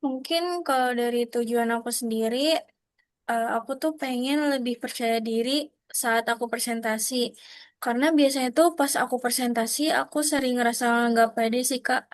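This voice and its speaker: A woman's voice: fairly high pitch, steady tone, fairly quick, no echo. A nineteen-year-old woman being coached in a practice session over a video call, speaking politely and casually and hesitating often. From Tangerang Selatan, Indonesia. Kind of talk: role-play coaching session.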